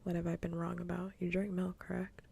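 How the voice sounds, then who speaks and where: A woman chatting casually on an ASMR livestream in a soft, breathy whisper, teasing playfully and repeating her phrases, close to the microphone. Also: fairly low pitch, flat delivery, a little fast. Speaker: livestream host.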